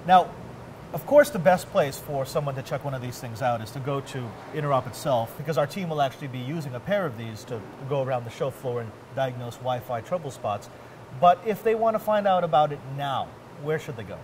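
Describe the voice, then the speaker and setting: A man's voice: medium pitch, expressive, fairly quick, darkish tone. Casual geeky male host, noisy space. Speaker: host interviewing at a tech trade event. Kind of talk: conversational product interview. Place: large indoor warehouse staging space. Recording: clear background noise of a warehouse.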